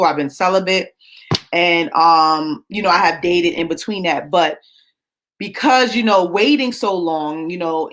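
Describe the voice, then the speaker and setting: A woman's voice: low-pitched, fairly bright tone. A woman in her forties hosting a live show, confiding a personal story in a chatty way, often asking "right?". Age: 40s. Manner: chatty, confiding, tag questions. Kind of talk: casual personal talk to viewers.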